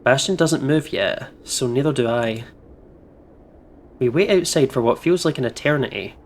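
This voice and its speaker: A man doing casual let's play commentary and reading narration aloud, expressive and playful in manner. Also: medium pitch, normal speed, slight background noise. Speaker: gaming streamer reading aloud.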